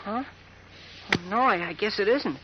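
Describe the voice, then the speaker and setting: A man with an American accent playing an excitable, impetuous boy cub reporter in 1940s radio drama, on an old recording. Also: high-pitched, moderate pace, muffled recording, background noise, close to an everyday voice. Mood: puzzled. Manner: excitable, boyish.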